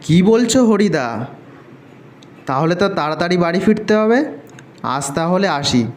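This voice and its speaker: A man's voice: high voice, expressive, a little slow. A man playing an obliging, timid village husband and father in a cartoon, earnest and easily unnerved. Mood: uneasy. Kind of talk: cartoon dialogue acting. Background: faint music.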